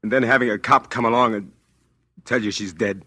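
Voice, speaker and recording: Earnest, agitated young lover, American, forceful bright tone, vintage radio suspense. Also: male voice, mid-range voice, fairly even intonation, fairly quick, dry recording, clean studio sound. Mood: tense narration. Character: anxious lovestruck young man telling his suspense story. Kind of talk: 1940s radio drama acting. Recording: old time radio show recording.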